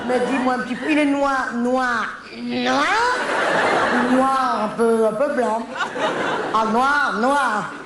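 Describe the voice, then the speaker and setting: A comic actor voicing a flustered mother fussing over her daughter's fiancé, repeating herself in a halting manner on an archive stage recording. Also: mid-range voice, steady tone. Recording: echoey stage recording with loud noise.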